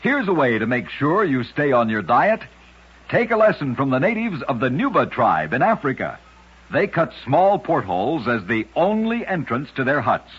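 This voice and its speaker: Husky male old-time radio storyteller. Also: medium pitch, sing-song, moderate pace, fairly bright tone. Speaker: radio narrator of curiosities. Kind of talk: old-time radio scripted narration of strange true stories. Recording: dull, lo-fi old radio recording.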